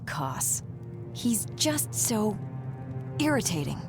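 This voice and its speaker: A woman's voice: mid-range voice, big pitch swings, slow, over music. A woman voicing an earnest, troubled young seer in a video game cutscene, with dramatic delivery. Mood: wistful frustration.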